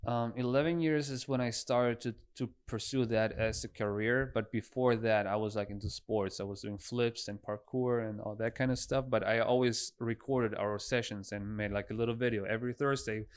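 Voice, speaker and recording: A man's voice: medium pitch, steady tone, moderate pace, hardly any pauses, clear, resonant tone. A man in his thirties with a German accent, telling stories casually as a podcast guest. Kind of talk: casual conversational storytelling.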